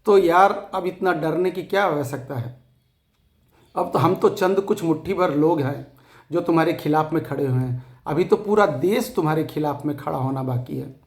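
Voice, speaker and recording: A man with a hoarse, scratchy throat giving an impassioned, defiant address to fellow depositors, repeating phrases for emphasis. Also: on the high side, normal speed, echoey room. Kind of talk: impassioned rallying address to followers.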